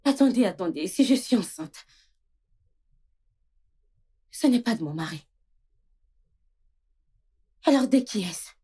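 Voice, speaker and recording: A woman's voice: medium pitch, animated, takes it slowly, pauses often, mellow, breathy edge. A woman playing an anxious young wife who coaxes and soothes, in dubbed film dialogue. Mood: shocked, anxious. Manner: coaxing, pleading.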